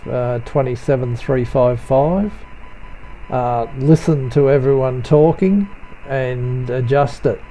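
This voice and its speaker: A man giving an unscripted software tutorial voice-over in a low-key, hesitant, halting way. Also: low-quality recording.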